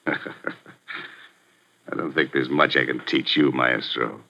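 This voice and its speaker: A deep, forceful gangster boss on old radio. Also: male voice, fairly even intonation, creaky, full character voice. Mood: menacing. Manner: tough street slang, slow menacing drawl. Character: ruthless male gangster gambling boss, middle-aged, menacing. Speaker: gambling house owner. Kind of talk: 1940s radio acting. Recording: muffled 1940s radio broadcast.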